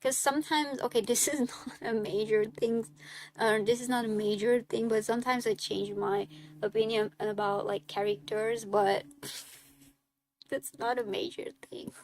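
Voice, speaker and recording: Slow-talking young woman. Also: fairly high pitch. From Hungary. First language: Hungarian. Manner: relaxed, chatty, laughs often. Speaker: streamer. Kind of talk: casual chat reading viewer questions.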